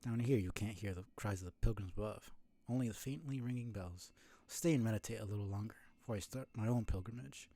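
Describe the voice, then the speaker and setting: A man's voice: fairly low pitch, quick pace, mellow. A man voicing a weary, devout bug pilgrim from a video game, solemn and welcoming in old-fashioned phrasing. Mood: hushed, devout. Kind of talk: reading game dialogue aloud.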